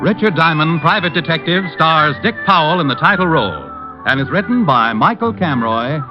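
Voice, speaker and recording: A man's voice: a little high, expressive, normal speed. An American man announcing a vintage radio show in a formal, scripted style. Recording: dull vintage radio recording, music behind.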